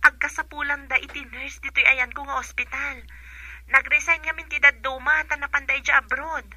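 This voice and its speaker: A woman's voice: sits high, flat delivery, brisk, pushed and bright, no echo, rough recording. A young woman with an Ilocano accent playing a dutiful young nurse in a radio drama. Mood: friendly, upbeat.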